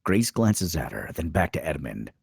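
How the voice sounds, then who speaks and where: A man's voice: a bass voice, big pitch swings, normal speed, gravelly, a little mellow, slightly rough, no room sound, studio quality. A man narrating stage directions dramatically at a screenplay table read.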